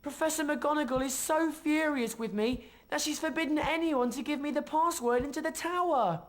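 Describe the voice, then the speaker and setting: Woman acting a high-voiced flustered timid schoolboy. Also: normal speed, lightly acted. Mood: plaintive, anxious. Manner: plaintive, anxious.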